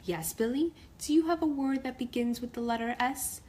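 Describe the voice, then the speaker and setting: Woman as a patient, high-voiced mother-bear schoolteacher. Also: moderate pace, mellow, close to an everyday voice. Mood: encouraging. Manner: patient, encouraging, teacherly.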